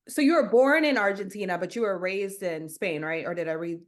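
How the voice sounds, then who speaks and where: A woman with an ordinary mid-range voice asks questions in a relaxed, informal way. She keeps things conversational, dropping in quick "gotcha"s and "right"s as she leads the interview. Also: medium pitch. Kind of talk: casual interview.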